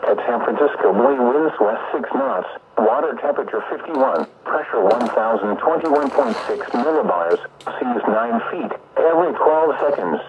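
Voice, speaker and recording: A synthetic male announcer reads a weather bulletin in formal, clipped items. His pitch swings noticeably and the voice has a gravelly creak. It comes through a thin, narrow-band radio transmission. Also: lively intonation, moderate pace, few pauses, a little hiss or hum. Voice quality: synthetic text-to-speech voice. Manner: formal, clipped item-by-item delivery. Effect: radio broadcast transmission. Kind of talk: automated scripted weather report reading.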